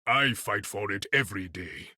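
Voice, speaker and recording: A man's voice: on the low side, normal speed, pushed and bright, slightly rough, dry recording, studio quality, full character voice. A man playing a proud, dignified warrior king in a video game, with an African accent and formal, regal phrasing. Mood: proud, solemn. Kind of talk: video game character voice acting.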